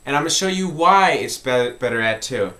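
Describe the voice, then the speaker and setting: A man's voice: mid-range voice, lively intonation, a little fast, pushed and bright. A man talking informally as he hosts an instructional music tutorial.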